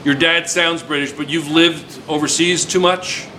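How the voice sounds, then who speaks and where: A man's voice: a little high, fairly even intonation, unhurried, sharp tone. A man teaching a class, chatty and teasing, repeating his students' answers back to them. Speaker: physics teacher. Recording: noisy classroom recording.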